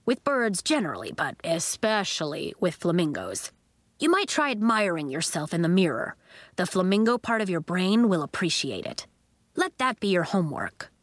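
A woman's voice: on the low side, sing-song, moderate pace, no echo. A woman voicing a hearty, encouraging male school coach in a storybook. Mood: advising.

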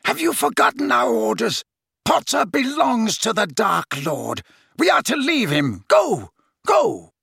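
A furious male wizard, as an audiobook narrator puts him on, pushes his voice high and loud. He taunts and commands with sneering contempt, swinging widely in pitch, in a dry close recording. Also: big pitch swings, a little slow, vocal fry, breathy edge, no echo, exaggerated voice. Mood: commanding fury.

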